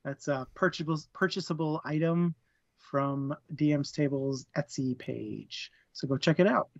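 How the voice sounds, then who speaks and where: A man's voice: on the high side, moderate pace, vocal fry, dry recording, lo-fi sound. A man co-hosting a live book discussion stream, chatty and laughing often, sometimes tripping over his words. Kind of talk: casual live-stream chat, plugs and rundown.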